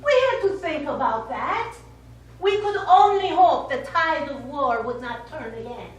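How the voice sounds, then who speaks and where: An actress on stage playing a bitter, wounded older Italian woman, speaking in a deliberate, accusing manner. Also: high-pitched, lively intonation. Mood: grave, bitter. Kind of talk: stage play acting. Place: small theatre studio, recorded from afar. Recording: echoey, distant stage recording with hiss.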